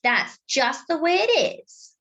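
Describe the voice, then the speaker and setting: A woman's voice: high-pitched, very animated, slow pace, a bit bright, muffled recording, voice pushed up, full character voice. A woman voicing a scornful older boy in a storybook, blunt and dismissive.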